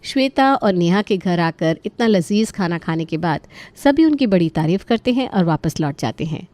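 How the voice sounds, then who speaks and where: A woman's voice: on the low side, lively intonation, normal speed, darkish tone, no room sound. A woman reading a scripted story as the narrator.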